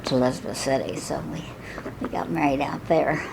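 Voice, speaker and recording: A woman's voice: very deep voice, a little fast, vocal fry, background noise. Older woman with a Southern Illinois accent. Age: 80s. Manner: chatty, laughs often, with false starts. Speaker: oral history interviewee. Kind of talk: casual reminiscing.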